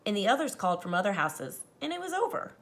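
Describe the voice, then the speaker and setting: A woman teacher reading a picture book aloud to children, expressive and dramatic, speaking to them directly. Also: mid-range voice, fast talker. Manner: animated, dramatizes the story lines, addresses children directly. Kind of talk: expressive children's read-aloud with explanatory asides.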